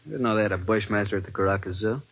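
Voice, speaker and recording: A man's voice: lowish voice, very fast. A man playing a tough, determined American adventurer and animal collector, telling his story dramatically in an old-time radio drama. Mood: confident. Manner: hard-boiled, dramatic. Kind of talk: old-time radio drama, first-person narration and dialogue. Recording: muffled old radio recording with music.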